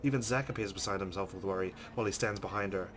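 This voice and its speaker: Casting: male let's play host, lowish voice, dramatic reader with casual asides, noticeably noisy recording. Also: quick pace. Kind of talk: dramatic read-aloud with casual commentary.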